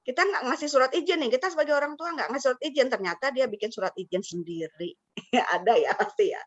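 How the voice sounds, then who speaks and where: A woman's voice: high-pitched, fast talker. A woman hosting an interactive online webinar, chatty and engaging listeners with questions. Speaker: webinar host and teacher mentor. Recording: muffled lo-fi online call audio.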